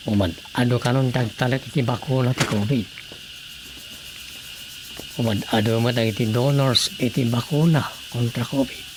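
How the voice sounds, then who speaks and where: An actor with an Ilocano Filipino accent playing a married writer who muses aloud to himself in a radio drama. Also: male voice, medium pitch, steady tone, normal speed, long pauses, background noise, close to an everyday voice. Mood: musing, concerned. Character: married man, a writer musing on world troubles.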